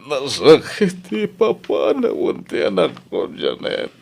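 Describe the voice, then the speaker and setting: A man's voice: medium pitch, wildly swooping pitch, slow, gravelly, a little mellow, exaggerated voice. An actor playing a devoted, sentimental elderly father in an audio drama, with an Ilocano accent. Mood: grieving.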